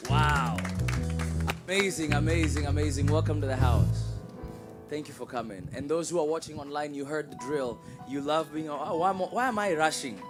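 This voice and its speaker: A man's voice: a little high, moderate pace, over music. A man hosting a church service, giving welcomes and announcements in an exhortatory way, repeating words and calling for responses. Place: church with congregation.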